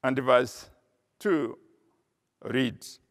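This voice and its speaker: Slow older male preacher in a reverberant church. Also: medium pitch. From Kenya. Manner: slow, measured delivery with frequent uh pauses, reading scripture aloud. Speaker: pastor. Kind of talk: sermon. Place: church sanctuary with a pulpit. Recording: long reverb of a church hall.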